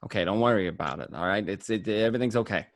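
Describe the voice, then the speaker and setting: A man's voice: lowish voice, quick pace, gravelly, dry recording. A man acting an anxious, weary mobster on the run in an amateur radio play, moving between confession and tense dialogue. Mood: reassuring. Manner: first-person confessional narration and tense dialogue.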